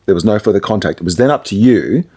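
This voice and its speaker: A man's voice: fairly low pitch, lively intonation, quick pace, dark tone, slightly rough. A man talking casually in an interview as a radio presenter, explaining things through anecdotes and asides.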